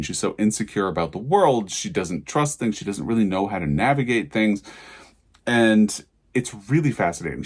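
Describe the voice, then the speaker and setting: A man with a fairly low-pitched voice talks through a book review in a casual vlog style. He is thoughtful and enthusiastic, wandering through his points as he explains them.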